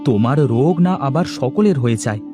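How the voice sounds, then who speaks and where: A man's voice: medium pitch, expressive, fairly quick, over music, lightly acted. A man playing a humble, anxious village husband in a cartoon, speaking earnestly and pleadingly. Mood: downcast.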